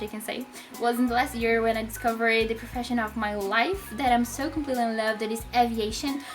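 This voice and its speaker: A woman's voice: a little high, a bit bright. A young Brazilian woman, a native Portuguese speaker with a Brazilian accent, telling a casual personal story to camera, eager and full of fillers and restarts. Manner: eager, fast bursts with many fillers and restarts. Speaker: vlogger.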